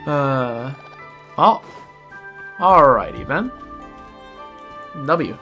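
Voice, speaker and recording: A man's voice: mid-range voice, sing-song, very slow, sharp tone, dull audio, faint music behind. A young man chatting casually while playing a game on a livestream, rambling with lots of restarts and self-deprecating asides. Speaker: streamer. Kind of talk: casual gameplay livestream chat.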